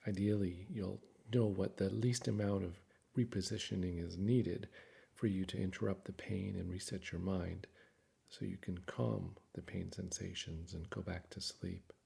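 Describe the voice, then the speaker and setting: Deep, gravelly man guiding a meditation. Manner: calm, soothing, measured with frequent pauses. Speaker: guided meditation narrator. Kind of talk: guided meditation, gentle scripted narration.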